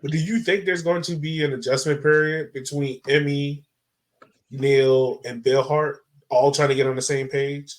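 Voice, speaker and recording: A man co-hosting a sports podcast in informal, halting chat peppered with filler words. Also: on the high side, fairly even intonation.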